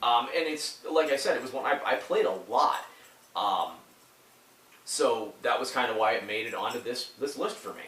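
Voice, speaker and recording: A man in his thirties chatting casually about his favorite old games, stuffy from a head cold. He rambles, trails off and starts his sentences over, his voice landing at a middle pitch. He sounds a little off mic, in a room with a noticeable echo. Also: moderate pace, fairly bright tone, recorded from a distance, a little hiss or hum. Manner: rambling, full of fillers and restarts. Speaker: YouTuber reviewing retro games. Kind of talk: casual talk to camera.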